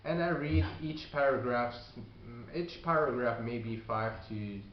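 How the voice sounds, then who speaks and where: A man who is not a native English speaker, giving casual advice on learning a language, talking haltingly with many fillers and restarts. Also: mid-range voice. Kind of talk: casual video response. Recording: echoey room, dull lo-fi sound, faint hiss.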